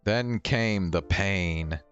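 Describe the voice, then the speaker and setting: Slow, deep-voiced man streaming a game. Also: fairly bright tone, dull audio. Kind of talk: casual playthrough commentary, reading visual novel text aloud. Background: game music.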